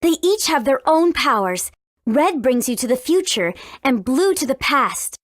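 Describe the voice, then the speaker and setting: A woman's voice: high voice, big pitch swings, a little slow, no room sound, clean studio sound, exaggerated voice. A woman voicing a confident, determined young heroine in a video game. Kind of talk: video game character acting.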